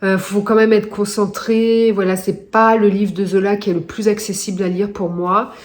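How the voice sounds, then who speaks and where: A woman's voice: mid-range voice, little pitch movement, normal speed. Chatty woman with a stuffy nose. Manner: chatty, many hesitations. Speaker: book vlogger. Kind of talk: casual reading vlog chat.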